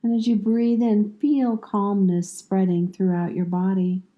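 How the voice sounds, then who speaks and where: A woman leading a guided meditation as a yoga nidra instructor, giving calm, soothing instructions in measured phrases with long pauses. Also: medium pitch, lo-fi sound.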